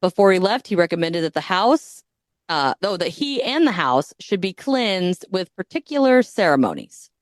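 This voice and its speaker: A woman's voice: on the low side, big pitch swings, normal speed, dry recording, studio quality. A woman hosting a podcast, retelling a story in a casual, crude, joking way and often breaking into giggles. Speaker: podcast host.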